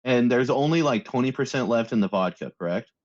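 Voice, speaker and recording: A man's voice: medium pitch, brisk. A man playing a tabletop game, asking practical questions about the details. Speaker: player. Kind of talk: casual tabletop game chat. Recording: dull, muffled sound.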